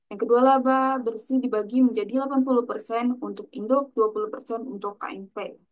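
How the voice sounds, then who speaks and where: A young woman giving a scripted explanatory presentation as a student, in a formal, recited manner. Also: fairly high pitch. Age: young adult. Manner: formal, recited from prepared text.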